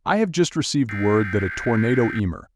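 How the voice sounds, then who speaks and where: A man playing a TV meteorologist who guides viewers through severe storms with steady, urgent, direct instructions in a live broadcast style. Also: mid-range voice, a little fast, noisy background, close to an everyday voice. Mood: grave, urgent. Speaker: TV weather broadcaster.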